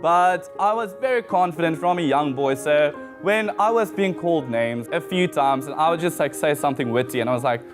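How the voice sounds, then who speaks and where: A man's voice: fairly high pitch, lively intonation, a little fast, few pauses, music in the background. A man in his twenties with a South African accent, a guest talking casually and laughing often in an interview. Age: 20s.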